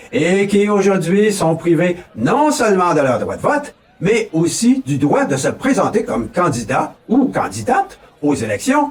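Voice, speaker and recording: A man's voice: fairly high pitch, distant mic. A man from Quebec with a Québécois accent, giving a folksy, mock-solemn comic campaign speech as a pretend candidate. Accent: Québécois French. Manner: folksy, mock-solemn, keeps tossing in a rising "ok, là?". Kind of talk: comic political address.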